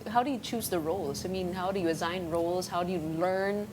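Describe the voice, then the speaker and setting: A woman hosting a morning show, asking curious follow-up questions in a deep voice. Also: brisk, background noise. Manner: curious, asks many follow-up questions with restarts. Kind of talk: live TV interview.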